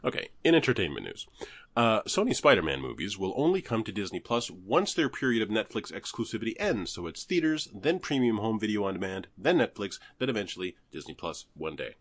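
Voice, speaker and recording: A middle-aged man hosting a podcast, chatting casually with an animated, joking manner and frequent laughs. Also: on the low side, a little fast. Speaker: podcast host. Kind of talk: casual chat.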